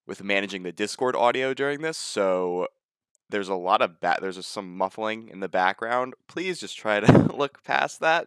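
A man's voice: on the low side, fairly bright tone, clean tone, no echo, clean studio sound. A young man in his twenties hosting a casual interview, talking in a rambling way with many restarts, recorded on a headset mic in his bedroom. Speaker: podcast interviewer. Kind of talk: casual interview over voice call. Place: bedroom, indoors. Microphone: gaming headset mic.

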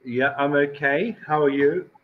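Slow, clear Englishman with a London-like accent. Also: fairly high pitch, phone-quality sound. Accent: southern English, London-like. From England. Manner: slow, clear, patient corrections. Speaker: English tutor. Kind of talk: casual video call lesson. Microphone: headset on video call.

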